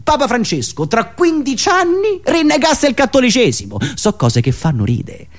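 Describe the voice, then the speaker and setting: A man with a high voice and very lively, swooping intonation delivers satirical monologue on the radio. He is sardonic and theatrical, leaning on rhetorical questions, pauses and repetition.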